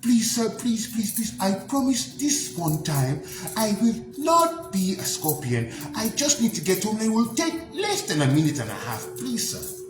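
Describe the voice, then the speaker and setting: A man voicing a young girl scorpion who begs anxiously in a high pleading voice, in a storytelling recording. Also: wildly swooping pitch, moderate pace. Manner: high, begging, breathless. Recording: roomy echo with background music.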